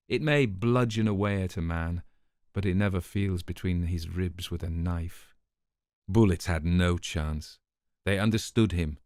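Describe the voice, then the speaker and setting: A man narrating a crime story from a script in a measured, articulate, dramatised way. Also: deep voice, a little mellow, no echo, clean studio sound.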